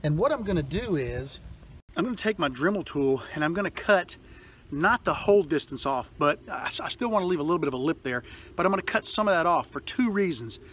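Chatty middle-aged man, slightly high voice. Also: lively intonation, fairly quick, a bit bright, a little hiss or hum. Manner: chatty, rambling with fillers and restarts. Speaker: workshop vlogger. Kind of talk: casual DIY vlog. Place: outdoors in a backyard, later in a garage. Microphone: phone held at arm's length.

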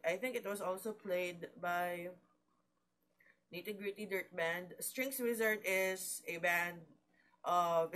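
Hoarse young woman vlogging at home, lowish voice, slow rambling talk. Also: a bit bright. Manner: slow, rambling, with fillers and pauses. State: hoarse, low voice from coughing. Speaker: vlogger and mandolin player. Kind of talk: casual chat to camera. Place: small room indoors. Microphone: webcam or laptop at desk.

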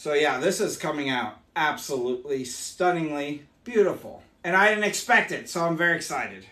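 A man in his forties, hoarse from losing his voice, chatting upbeat as he demonstrates mead making live in a small room. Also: a little high, lively intonation, normal speed, fairly bright tone, roomy sound. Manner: chatty, upbeat, explains as he works. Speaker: meadery owner hosting a live stream. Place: small home meadery room, indoors.

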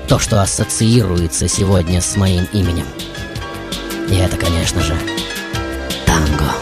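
A man playing a passionate, brooding composer who tells his life in a dramatic, poetic radio monologue with deliberate pauses. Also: low-pitched. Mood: brooding, solemn. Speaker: radio show host. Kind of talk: theatrical radio monologue over music. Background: music.

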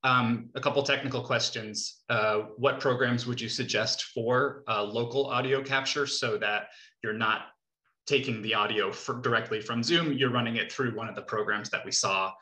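A man's voice: medium pitch, fairly even intonation, moderate pace, bright, forceful tone. A man in his thirties moderating a panel discussion on a video call, thinking aloud with some hesitation. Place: small home room with couch, indoors. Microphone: video call, laptop at desk distance. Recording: roomy, echoing video call audio.

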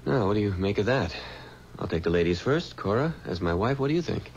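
An actor playing a genial husband, measured and articulate, in a 1970s radio drama. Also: male voice, fairly low pitch, expressive. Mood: composed, curious. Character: genial husband reading out an old family letter. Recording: dull, hissy 1970s radio recording.

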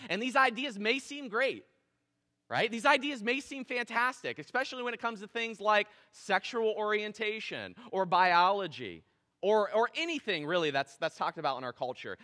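A man in his thirties preaching a casual, animated sermon on a church stage. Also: sits high. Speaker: pastor. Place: church stage with drum kit. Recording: long hall reverb in church.

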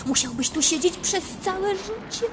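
Very high, cheeky little girl creature. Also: animated, normal speed. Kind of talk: radio play acting. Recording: noisy 1970s vinyl radio play.

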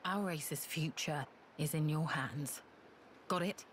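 A woman's voice: sits low, takes it slowly, slight background noise. A woman playing a stern, proud winged warrior in a video game, speaking in a grave, commanding manner. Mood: grave, resolute. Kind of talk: video game cutscene acting.

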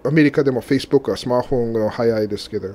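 Halting man, mellow voice. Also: mid-range voice. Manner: halting non-native speech with frequent restarts and laughs. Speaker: co-host. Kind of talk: casual radio chat.

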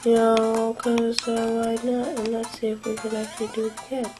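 A young woman giving casual, rambling commentary while playing a game at home, sniffly from allergies, with lots of fillers and quiet asides. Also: on the high side, completely flat delivery, moderate pace, music in the background. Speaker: gameplay commentator. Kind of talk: casual let's-play commentary. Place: home room at a desk.

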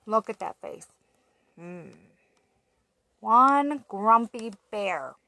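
Slow sing-song woman reading to toddlers. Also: medium pitch, animated, gravelly, bright, forceful tone, no room sound. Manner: slow, sing-song, asks little listeners questions. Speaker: teacher reading aloud. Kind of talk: picture book read-aloud for toddlers. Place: small room indoors. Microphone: webcam at arm's length.

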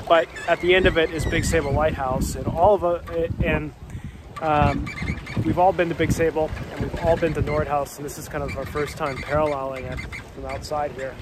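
A man's voice: a little high, normal speed. A young man chatting casually with lots of ums as he narrates a sailing trip, filmed on a phone at arm's length out on the water. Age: young adult. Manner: relaxed, chatty, many ums and uhs. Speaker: sailing vlogger. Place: on a sailboat out on open water. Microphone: phone held at arm's length. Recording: phone audio with loud wind noise. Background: wind and boat noise.

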